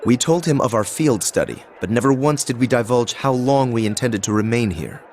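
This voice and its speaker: An actor playing a reserved, cynical young nobleman in a video game, speaking formally and with dry, measured phrasing. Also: mid-range voice, expressive, a little mellow, slight background noise, natural voice. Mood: dryly skeptical. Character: reserved, cynical young illegitimate son of a duke. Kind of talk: English-language game voice acting.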